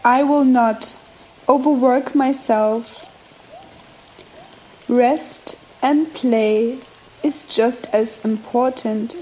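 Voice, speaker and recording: A woman with a fairly high voice leads a guided meditation at an extremely slow pace. She delivers scripted affirmations in short, measured phrases and leaves long pauses between them. Faint nature ambience runs behind her voice. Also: dark tone, slight background noise, low-quality recording. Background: nature and ambient sounds.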